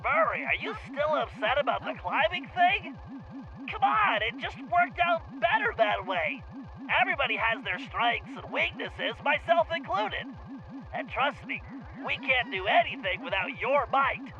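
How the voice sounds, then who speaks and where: Nerdy young male gang planner for an animated game, extremely high register, cautious, precise, lecturing delivery. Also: measured pace, highs cut off, full character voice. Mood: reassuring, instructive. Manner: precise, fussy, explanatory. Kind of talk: animated video game voice acting.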